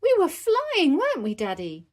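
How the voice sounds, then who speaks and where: Storybook piglet girl , played by a woman: high, excitable, bubbly, very animated. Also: a little fast. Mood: delighted. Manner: exclamatory and eager. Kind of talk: children's storybook character reading.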